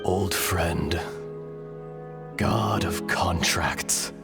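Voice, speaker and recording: A man's voice: sits low, extremely slow, gravelly, loud music behind the voice, heavily acted. A man voicing an ancient, grave earth dragon in a video game, speaking in a solemn, formal, poetic manner. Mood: grave, forgiving. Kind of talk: dramatic video game voice acting.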